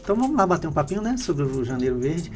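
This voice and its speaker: A man's voice: on the high side, sing-song, very fast, faint music behind. A man hosting a radio podcast, asking short, friendly questions and giving brief affirmations. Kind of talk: casual interview hosting.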